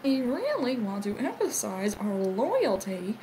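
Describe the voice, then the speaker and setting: Animated, high-pitched woman dubbing a cartoon. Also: unhurried, noisy background. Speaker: amateur fandub voice actor. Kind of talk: joking, improvised cartoon dubbing.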